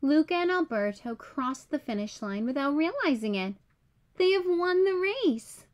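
A woman reading a children's picture book aloud as a storyteller, in clear, simple, expressive storybook delivery. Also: high-pitched, moderate pace.

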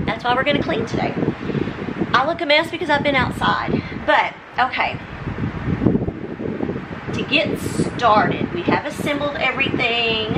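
A middle-aged woman, casually narrating a home vlog in an informal, halting, think-aloud way. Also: medium pitch, very noisy. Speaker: home vlogger. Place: living room of a home, indoors. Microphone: camera mic on a tripod nearby.

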